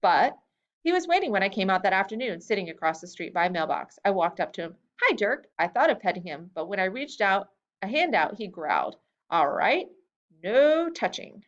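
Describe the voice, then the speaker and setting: Woman reading a story, lively pitch. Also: moderate pace, muffled recording. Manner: expressive reading that lifts pitch for quoted lines. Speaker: reader. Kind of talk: storybook reading of a memoir-style tale.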